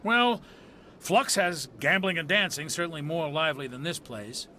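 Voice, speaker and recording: A man's voice: on the high side, moderate pace, bright, forceful tone, a little hiss or hum. A man playing a chatty, obliging attendant in a video game, with a gossipy way of talking. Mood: chatty, helpful.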